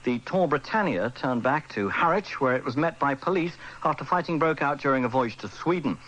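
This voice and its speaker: A man's voice: mid-range voice, lively intonation, brisk, a bit bright, highs cut off. A man with a British accent reading the news formally on the radio. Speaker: radio newsreader. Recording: faintly noisy old radio broadcast.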